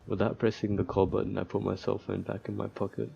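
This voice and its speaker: A man's voice: lowish voice, monotone, fast, creaky, dark tone, breathy edge. A man doing casual gameplay commentary, reading game text aloud and sometimes stumbling, recorded with a slightly dull lo-fi sound. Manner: mock-despairing asides, stumbles while reading. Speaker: gaming video host.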